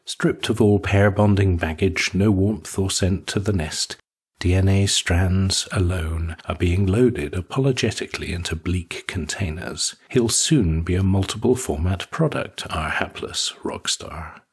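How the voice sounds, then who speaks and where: A man narrating a scripted literary short story in a husky voice. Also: deep voice, measured pace.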